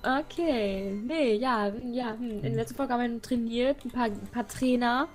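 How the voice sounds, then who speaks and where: A woman's voice: on the high side, normal speed. A young woman giving playful, giggly, casual commentary as the host of a let's play, chatting with a co-player. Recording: game music behind the voice. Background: video game music.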